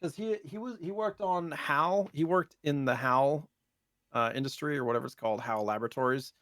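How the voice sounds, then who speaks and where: A man's voice: on the high side, a little fast, fairly bright tone, no echo. Man chatting casually, dry close sound. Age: 30s. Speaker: podcast co-host. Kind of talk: casual retro gaming chat.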